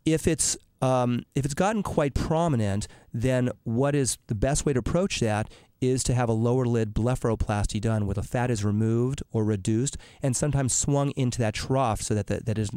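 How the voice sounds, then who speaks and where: A man with a raspy, hoarse voice from laryngitis, explaining cosmetic treatments as a surgeon on a call-in radio show, with frequent ums and restarts. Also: mid-range voice, darkish tone, dry recording, clean studio sound. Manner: explanatory, many fillers and restarts. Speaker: dermatologic surgeon, radio show guest expert.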